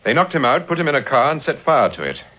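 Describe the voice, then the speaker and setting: On a dull, muffled old radio recording, a man plays an urbane English gentleman sleuth of middle age. His Received Pronunciation is polite and understated, with a lively rise and fall as he calmly takes charge. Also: mid-range voice, fast, natural voice. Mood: polite, measured. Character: suave, unflappable middle-aged English amateur detective and novelist. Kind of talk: mid-century BBC radio drama acting.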